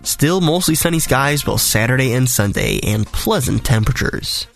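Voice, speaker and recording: A man hosting a weather podcast as a meteorologist, his voice raspy from a cold, talking through the forecast in a conversational, detailed way. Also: mid-range voice, lively intonation, normal speed, soft background music.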